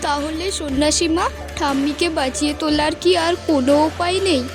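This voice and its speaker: A woman's voice: sits high, steady tone, fairly quick, over music, lightly acted. A woman voicing a brave, protective young elder sister in a fairy-tale cartoon, speaking in an earnest, imploring manner. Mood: pleading.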